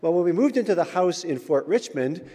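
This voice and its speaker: A man's voice: on the high side, expressive, brisk, warm tone, slightly rough. A man, a church elder, giving an informal sermon with stories in a chatty way with frequent fillers. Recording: reverberant church hall.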